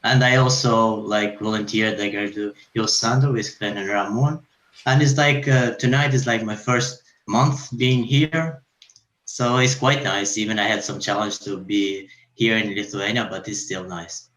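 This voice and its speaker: A man's voice: fairly low pitch, fairly even intonation, a little slow, fairly bright tone, roomy sound, low-quality recording. A young man in his twenties from Albania, a volunteer, rambling with lots of "like". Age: 20s. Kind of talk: casual self-introduction.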